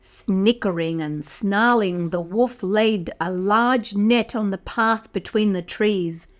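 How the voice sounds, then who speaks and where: A woman reading a picture book aloud to toddlers as a storyteller, expressive and speaking directly to young listeners. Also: medium pitch. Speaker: children's storyteller.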